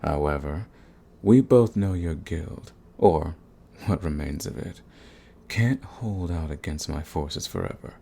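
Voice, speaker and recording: Man playing a deep, gravelly, seductive vampire lord. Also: lively intonation, mellow, full character voice. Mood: smug, courtly. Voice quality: gravelly, husky. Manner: courtly, purring, slow and menacing. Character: suave, sadistic, seductive male vampire lord. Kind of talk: ASMR roleplay, scripted monologue to the listener.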